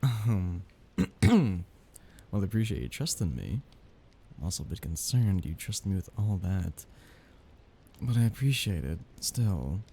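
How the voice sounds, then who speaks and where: A man playing a soft-hearted, nervous young mafia heir in an intimate ASMR romance roleplay, speaking softly, haltingly and apologetically. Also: sits low, big pitch swings, breathy edge, heavily acted. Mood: surprised, hesitant.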